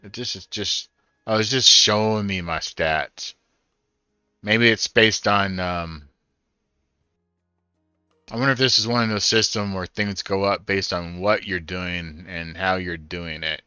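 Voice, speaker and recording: A man's voice: deep voice, fairly even intonation, measured pace, pauses often, sharp tone, clear, resonant tone, no echo, dull audio. A man giving casual first-time commentary on a video game, thinking aloud as he plays. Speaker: gaming streamer. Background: game music.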